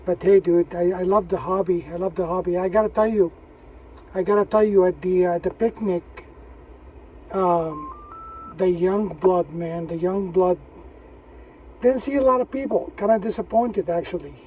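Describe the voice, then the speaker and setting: A man's voice: sits high, normal speed, a little mellow. A man of sixty-one chatting casually and in a laid-back way as an amateur radio operator, repeating himself for emphasis. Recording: distant mic, vehicle noise.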